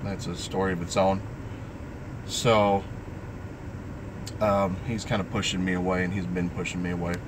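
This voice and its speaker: A middle-aged man talking to his vlog audience in a halting, reflective, earnest way. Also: low voice, little pitch movement, background noise. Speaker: vlogger. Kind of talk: personal vlog talk, live stream.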